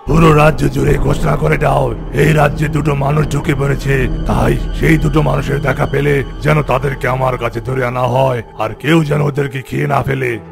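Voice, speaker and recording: Man playing a heavily gravelly demon king. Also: mid-range voice, level tone, normal speed, music in the background. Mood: commanding. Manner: commanding, reassuring to his daughter. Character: demon king, commanding yet doting father. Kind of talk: fairy-tale cartoon dubbing.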